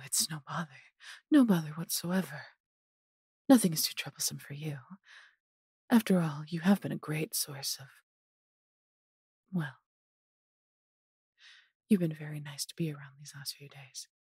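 A woman voicing a possessive, haughty yet doting female dragon in an intimate audio roleplay, condescending and theatrical, breathy and husky, often dropping to a whisper. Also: sits low, darkish tone, no room sound, studio quality, exaggerated voice. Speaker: voice actor.

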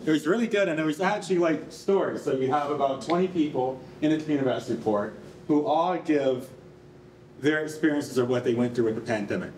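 A young man giving an informal, animated live presentation in a conversational way, as a project presenter. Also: a little high, fast talker. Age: young adult. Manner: conversational, animated, frequent "like" and "you know". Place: concrete-walled rehearsal hall before an audience. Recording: roomy, distant mic, faint noise.

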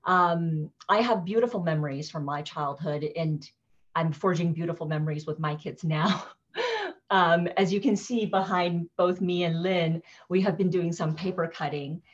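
A woman's voice: fairly low pitch, normal speed. A woman author in her thirties chatting casually about her picture book over a video call, thoughtful, with many fillers. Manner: warm, thoughtful, many ums and you-knows. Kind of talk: casual live book talk. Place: living room with fireplace. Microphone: laptop webcam video call. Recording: dull lo-fi video call audio.

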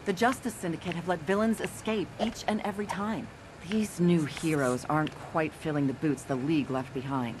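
An actress playing a brisk, sharp female newspaper reporter in a video game. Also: sits low, noisy background, close to an everyday voice. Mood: wry.

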